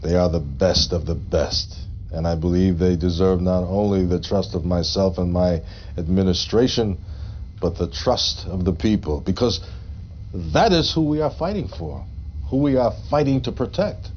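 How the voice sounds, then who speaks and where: Resolute wartime leader, muffled audio. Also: male voice, sits low, lively intonation. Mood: firm confidence. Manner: emphatic, deliberate speechmaking. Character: resolute, hawkish male political leader in wartime. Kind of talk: live-action game cutscene acting. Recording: dull lo-fi game audio, faint noise.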